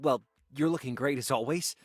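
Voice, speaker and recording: An actor playing an eager, awkward self-made merchant of peasant birth in a visual novel, earnest and halting, sometimes stammering. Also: male voice, mid-range voice, breathy edge, close to an everyday voice. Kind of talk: naturalistic visual novel acting.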